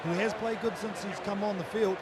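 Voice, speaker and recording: Rugby analyst man over loud crowd din. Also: high voice, fairly even intonation, fairly quick. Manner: chatty analysis of tactics, addressing his partner directly. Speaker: expert co-commentator. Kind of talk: live rugby sevens analysis. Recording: commentary over loud stadium noise.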